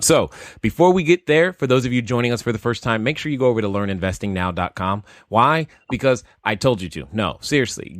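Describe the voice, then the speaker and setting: Dry-sounding energetic male podcast host. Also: dry recording. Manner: enthusiastic, salesy pitching.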